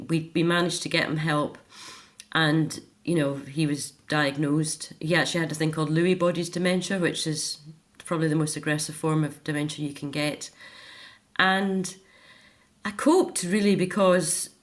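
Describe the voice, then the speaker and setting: A middle-aged woman speaks in a fairly low register. She is a guest in a personal interview, talking reflectively and articulately in a natural conversational style. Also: fairly bright tone.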